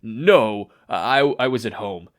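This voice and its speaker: A man's voice: fairly low pitch, lively intonation. Man acting out a shaken, wailing hero. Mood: shaken, fearful. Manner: dramatic, shaky, halting. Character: traumatized, wailing man, dramatic older hero.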